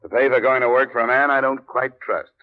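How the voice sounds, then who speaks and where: An actor playing a tough, wry insurance investigator in an old-time radio drama, clipped and sardonic. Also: male voice, mid-range voice, level tone, a little fast, lightly acted. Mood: dry, skeptical. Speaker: lead detective and narrator. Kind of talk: hard-boiled old-time radio acting. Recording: muffled vintage radio recording with music.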